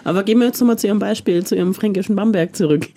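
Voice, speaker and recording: A woman's voice: medium pitch, rapid-fire, mellow. A woman interviewer asking long, conversational questions with small hesitations and restarts. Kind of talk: radio-style interview questions.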